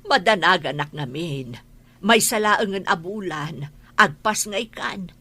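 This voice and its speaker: A woman's voice: on the low side, lively intonation, a little slow, sharp tone, slightly rough, exaggerated voice. A woman acting in a radio drama as a worried, protective aunt. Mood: worried, cautioning. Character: worried, protective aunt cautioning her pregnant niece.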